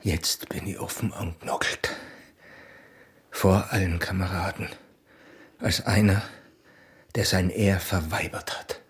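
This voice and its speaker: An actor playing a tormented, honour-bound young border guard in a radio drama, with a husky, breathy voice and an Austrian accent. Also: male voice, low-pitched, fairly even intonation, takes it slowly, pauses often, heavily acted. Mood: anguished resolve. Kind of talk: intense radio drama acting in rural dialect.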